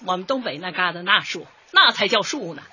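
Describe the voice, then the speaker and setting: A woman's voice: fairly low pitch, lively intonation, brisk, bright, forceful tone, slightly rough, highs cut off, heavily acted. An actress playing a bold, hearty middle-aged neighbourhood woman with a Northeastern Chinese accent in a radio comedy, folksy and forthright. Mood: cheerful. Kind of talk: radio comedy drama acting.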